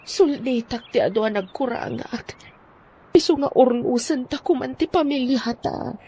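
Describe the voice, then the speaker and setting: A woman's voice: sits high, warm tone, slightly rough. A woman playing a remorseful estranged wife asking forgiveness in an Ilocano radio drama. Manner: slow, remorseful.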